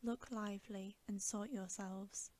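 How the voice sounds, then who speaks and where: Lady as a commanding lion king type, measured, whispered n slow, bedtime storybook. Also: medium pitch, fairly even intonation, a little mellow, lightly acted. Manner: measured, authoritative instructions. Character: noble commanding male lion leader. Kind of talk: whispered ASMR storybook reading.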